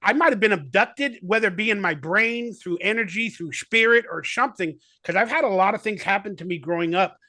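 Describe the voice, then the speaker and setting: A man's voice: sits high, a little fast, hardly any pauses, sharp tone, dry recording. A man in his forties, a guest actor on a video call, talking in a rambling, enthusiastic way full of fillers. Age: 40s. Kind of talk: casual remote interview.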